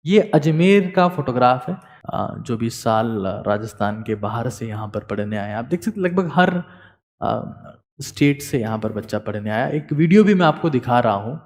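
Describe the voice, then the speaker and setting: A man giving a motivational study lecture as a teacher, emphatic and repeating key words, swinging between loud and soft. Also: on the low side, in a large hall.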